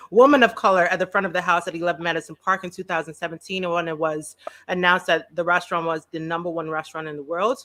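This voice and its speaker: A Trinidadian woman, a food blogger on a panel, chatting playfully and slipping into dialect. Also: on the low side. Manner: animated, playful, drops into dialect. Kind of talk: casual video call panel chat.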